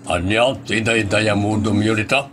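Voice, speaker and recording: A man with an Ilocano accent playing roles in a comedy audio drama, theatrical, swinging from bright announcing to low grumbling. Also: steady tone, moderate pace, slight background noise. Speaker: narrator and voice actor.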